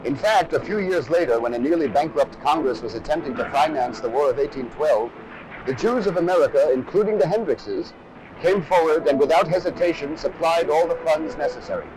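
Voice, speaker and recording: A man's voice: on the high side, expressive, a little fast, a crowd in the background, poor audio. A man giving scripted, dramatic documentary narration on a harsh, over-modulated old film soundtrack. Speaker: presenter of an army training film.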